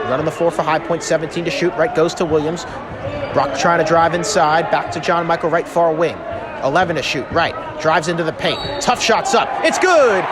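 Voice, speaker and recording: A man calling radio basketball play-by-play in short clipped phrases. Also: a little high. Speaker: play-by-play announcer. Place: courtside in a basketball arena. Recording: loud crowd, very noisy arena broadcast.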